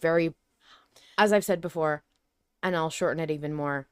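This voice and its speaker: Woman podcast host, smooth lowish voice, conversational, rephrases as she reasons. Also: moderate pace, no room sound, studio quality. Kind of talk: casual discussion of an audio drama.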